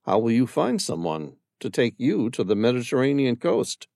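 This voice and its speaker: Male reader as a probing stranger; calm, persistent, low-key and gravelly. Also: normal speed, no echo, studio quality. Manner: inquisitive, persistent questioning.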